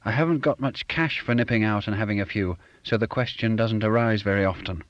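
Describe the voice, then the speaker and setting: A man's voice: lowish voice, a little fast, no room sound, highs cut off, lightly acted. A man voicing a reserved, defensive fellow. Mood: guarded. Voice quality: reserved, clipped. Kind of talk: audiobook character voice.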